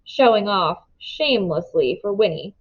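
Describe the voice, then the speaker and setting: A woman reading a children's novel aloud in expressive storybook narration, on a slightly dull recording. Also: medium pitch, unhurried.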